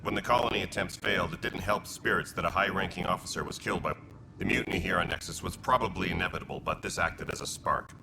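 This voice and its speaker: An actor playing a curt, businesslike alien militia officer in a sci-fi video game, matter-of-fact and dismissive. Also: male voice, low-pitched, fast, gravelly, background noise. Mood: brusque, dismissive.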